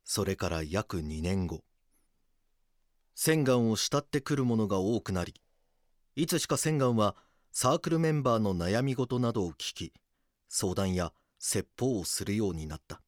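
A man reading scripted documentary drama narration in a solemn, measured manner. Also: lowish voice, animated, dry recording, clean studio sound.

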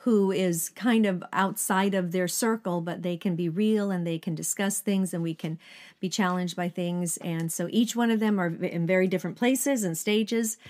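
An older woman from Sweden chats earnestly beside her husband, her voice sitting on the low side for a woman. She talks in a relaxed, unscripted way, often pausing on fillers and laughing easily. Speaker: ministry co-host, pastor's wife. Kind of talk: casual conversational chat.

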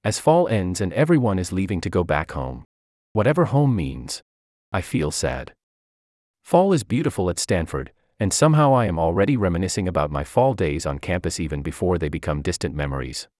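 A man reading a personal essay aloud in a measured, reflective way. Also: sits low, very animated, a little mellow, no echo, studio quality. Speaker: article reader.

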